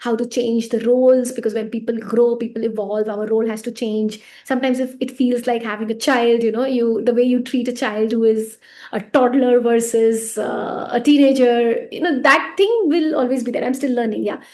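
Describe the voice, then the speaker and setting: A woman speaks in a slightly higher register and keeps a relaxed, conversational flow. She laughs easily, and her voice often sinks quietly at the ends of phrases as she answers questions about her work as a healing teacher. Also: moderate pace. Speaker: pranic healing teacher and center organizer. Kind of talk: casual interview answers.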